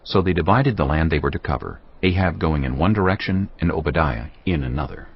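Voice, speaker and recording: A man's voice: very deep voice, a little fast, husky, lo-fi sound. A man narrating scripture as a scripted drama, in a solemn storytelling manner.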